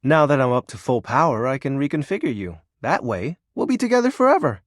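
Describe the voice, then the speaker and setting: A man's voice: mid-range voice, sing-song, fairly quick, no room sound, studio quality, natural voice. Man voicing a swooping, melodramatic young shadow being. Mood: ominous, clingy. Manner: theatrical, pompous dark proclamations slipping into awkward, vulnerable mumbling. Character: brooding, lonely, melodramatic young male shadow being, edgy internet roleplayer. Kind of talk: video game character acting.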